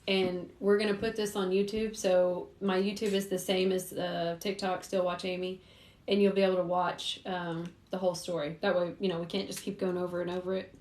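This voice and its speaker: A woman with a middle-range voice hosts a casual livestream. She talks informally and directly to her viewers, taking questions in a chatty way. The room adds a clear echo. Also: medium pitch, darkish tone. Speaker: host, private investigator. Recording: roomy echo.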